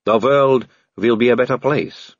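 A man voicing a sardonic female vampire aristocrat, speaking calmly with a slow drawl. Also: medium pitch, dry recording, dull audio, pitched above the performer's own voice, close to an everyday voice. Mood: languid. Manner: calm, languid, drawling.

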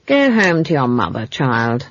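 Narrator acting a gruff, irritable caravan boss. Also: medium pitch, wildly swooping pitch, unhurried, no room sound, dull audio. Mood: cold, dismissive. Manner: cold, brusque, exasperated. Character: gruff, irritable, overburdened man leading a trade caravan.

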